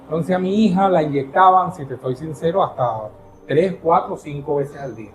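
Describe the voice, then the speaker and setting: Venezuelan guy, kinda high voice, talks earnest and halting, roomy echo w faint music behind. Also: expressive. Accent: Venezuelan Spanish. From Caracas, Venezuela. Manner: earnest, halting, with many restarts. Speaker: interview guest. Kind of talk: personal storytelling in an interview.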